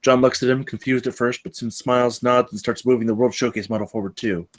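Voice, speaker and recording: A man's voice: medium pitch, steady tone, fast talker. A man reading screenplay narration and stage directions aloud at a table read.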